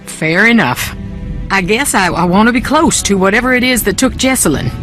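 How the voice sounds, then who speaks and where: A woman performing a defensive, sharp-tongued young woman in a video game. She speaks dryly and pointedly with wide swings of intonation, while faint music plays behind her. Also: mid-range voice, expressive, normal speed, lightly acted. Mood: uneasy. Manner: dry, pointed. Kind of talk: video game character dialogue.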